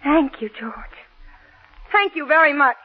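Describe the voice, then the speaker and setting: Possessive 1940s radio wife, dramatically swooping. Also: female voice, high voice, lively intonation, unhurried. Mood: cold, jealous. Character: possessive, jealous young wife, sweet turning sharp. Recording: tinny 1940s radio broadcast recording.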